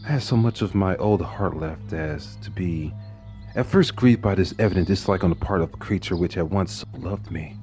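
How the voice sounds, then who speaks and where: A man narrating a horror story in the first person, intense, dropping to hushed whispers and rising into anguished outbursts. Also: low voice, normal speed, soft background music. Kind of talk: dramatic horror story reading.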